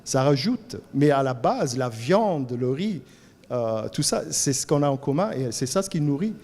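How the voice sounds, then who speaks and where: A man with a Cajun French accent from Louisiana gives an animated talk at a colloquium, often repeating words as he searches for the next one. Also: mid-range voice, normal speed, big-room sound. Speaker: colloquium speaker. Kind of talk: lively talk to an audience. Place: lecture hall.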